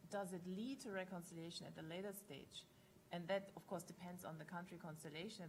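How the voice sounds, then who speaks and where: Low-voiced woman panelist, analytical. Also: a little hiss or hum. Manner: analytical, thinks aloud with tag questions. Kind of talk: academic panel discussion.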